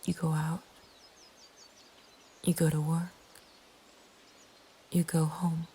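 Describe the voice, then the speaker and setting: Slow, low, whispering woman narrator. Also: level tone. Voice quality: breathy, whispered. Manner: slow, hushed, deliberate delivery. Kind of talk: whispered ASMR horror storytelling, scripted narration. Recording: faint ambient background noise. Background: soft nature ambience.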